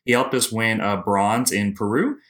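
A man's voice: lowish voice, steady tone, normal speed, a bit bright. A man from Canada hosting a podcast, introducing his guest and asking questions in an upbeat way. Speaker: podcast host.

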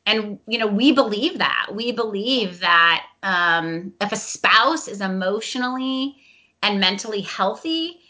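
A woman's voice: medium pitch, lively intonation, unhurried, pushed and bright. A middle-aged woman talking reflectively in a casual conversation, with frequent "um" and "you know". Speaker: guest, veteran spouse advocate. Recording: dull lo-fi sound.

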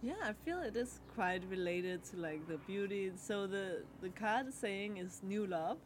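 A young adult woman whose first language is Danish, co-hosting a podcast and giving a relaxed card reading in a halting way, with many fillers and pauses. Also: medium pitch, slight background noise. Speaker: podcast co-host. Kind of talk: casual chat, card reading.

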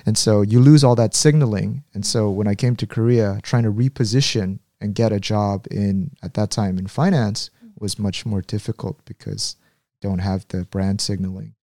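A man from the United States talks as an interview guest in a candid, conversational way. His voice sits low and mellow. He often restarts his sentences as he goes. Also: steady tone, normal speed, dry recording, clean studio sound.

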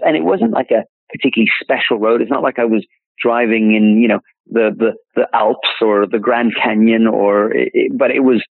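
A man's voice: medium pitch, vocal fry, no echo. Man on a tinny phone line. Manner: rambling, many restarts and repeated words. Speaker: classic car dealer, guest. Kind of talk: casual interview chat.

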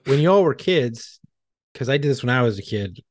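Husky-voiced man telling crude stories. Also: mid-range voice, lively intonation, moderate pace, no room sound, studio quality. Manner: storytelling, profane, laughs while talking. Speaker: podcast co-host. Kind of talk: casual crude banter.

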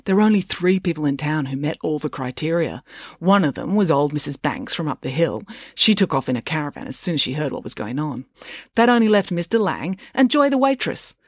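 A woman's voice: low-pitched, lively intonation, a little fast, no echo. A woman voicing a breezily ironic, knowing female character in a storybook, explaining things with dry wit. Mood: wry, knowing.

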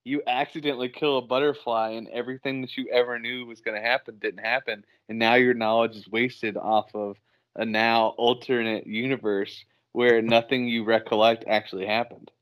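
A man's voice: medium pitch, little pitch movement, moderate pace, a bit bright. A man co-hosting a podcast in casual banter, teasing and freely profane. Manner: teasing, profane, acts out imagined remarks. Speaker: podcast co-host.

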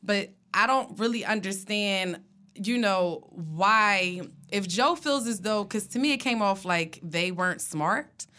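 A woman's voice: mid-range voice, bright, forceful tone. A woman co-hosts a casual podcast conversation. She is chatty, laughs easily and weighs in with her own opinions. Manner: chatty, quick to laugh and react. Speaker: podcast co-host. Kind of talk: casual chat.

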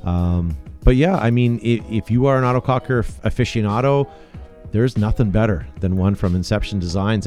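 A man hosting a casual panel chat, upbeat and halting, reading viewer questions aloud. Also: medium pitch, a little mellow. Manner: enthusiastic, halting restarts. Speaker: podcast host reading live chat questions.

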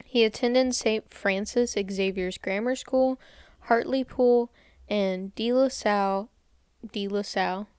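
A woman's voice: mid-range voice, slow pace, darkish tone, clean tone, dry recording. Woman, casual podcast co-host; chatty, giggly, dry close recording. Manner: chatty, laughs often, stumbles on place names.

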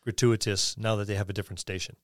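A man's voice: lowish voice, little pitch movement, quick pace, no room sound, studio quality. A man co-hosting a podcast, talking casually and conversationally about tabletop roleplaying. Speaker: podcast co-host.

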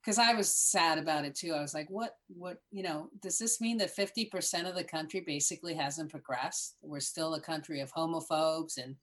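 Lowish woman guest, talks emphatic and animated, chatty. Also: normal speed, hardly any pauses, a little hiss or hum. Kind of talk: casual conversation.

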